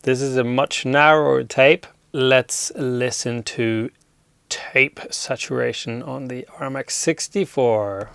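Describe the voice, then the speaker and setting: A man hosting a casual hands-on audio gear demo, relaxed and appreciative as he thinks aloud. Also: medium pitch, takes it slowly, vocal fry, slight background noise. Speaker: host demonstrating audio gear.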